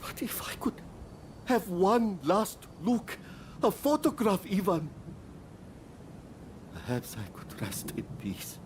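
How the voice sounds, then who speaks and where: A man playing a humble, ailing beggar in a video game, pleading with halting, sorrowful remorse. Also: high voice, wildly swooping pitch, noisy background, full character voice. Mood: sorrowful, regretful. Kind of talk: dramatic video game dialogue.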